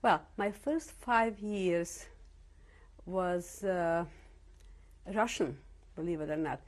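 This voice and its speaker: A woman's voice: fairly low pitch, slow. A woman in her seventies from Czechoslovakia, whose first language is not English, recalling her early life in an oral history interview with frequent hesitations. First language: Yiddish or Slovak. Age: 70s. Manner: reflective, with frequent hesitations and restarts. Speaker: interviewee, Holocaust survivor. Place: home interview in Cleveland.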